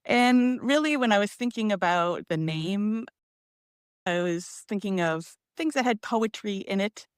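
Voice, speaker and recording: A woman's voice: mid-range voice, expressive, measured pace, clean tone, no room sound, clean studio sound. A woman speaking as a guest in a casual live video chat, laughing easily and talking through her thoughts on a phone mic. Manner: laughs often, easygoing. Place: San Francisco. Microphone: phone used as camera.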